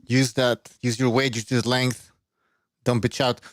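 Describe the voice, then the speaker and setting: A man's voice: mid-range voice, level tone, a little slow, no echo, clean studio sound. A man hosting a call-in boxing podcast, blunt and profane, urging listeners to call in. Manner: blunt, profane, reads donations aloud. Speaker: podcast host. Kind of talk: casual show hosting.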